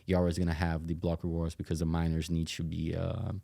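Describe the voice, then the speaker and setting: A man with a very low voice full of fry, explaining as a podcast guest. His delivery is conversational and halting, with a narrow melody that stays fairly even. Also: dry recording, clean studio sound. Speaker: company CEO interview guest.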